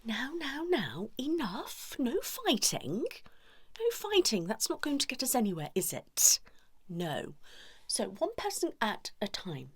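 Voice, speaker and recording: A woman's voice: on the high side, wildly swooping pitch, unhurried, husky, exaggerated voice. A British woman playing a cheeky, blunt couples therapist in an improvised, whispered ASMR roleplay, rambling with hesitant asides. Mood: soothing, nosy.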